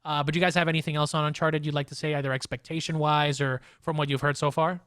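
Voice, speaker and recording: A man's voice: on the high side, level tone, fast, a bit bright, clear, resonant tone, no echo, clean studio sound. A young man hosting a casual pop culture discussion, talking enthusiastically in informal, looping, hesitant phrases. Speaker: podcast host.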